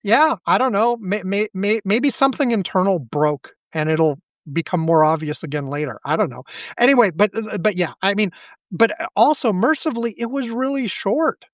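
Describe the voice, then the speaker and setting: A man co-hosting a casual podcast chat, speaking with a scratchy throat and stuffy head, rambling and halting. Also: high voice, expressive, no echo, clean studio sound. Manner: rambling, halting, self-deprecating. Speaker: podcast co-host.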